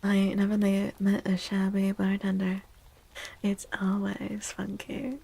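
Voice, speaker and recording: A woman chats softly to her stream in an ASMR style. Her voice is breathy and dark with some fry, and her pitch stays fairly flat. She is playful, often dropping to a whisper. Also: slow pace. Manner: soft, hushed, often slipping into whispers; playful, teasing. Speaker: ASMR streamer and tarot reader. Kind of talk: soft-spoken ASMR, casual chat with livestream viewers.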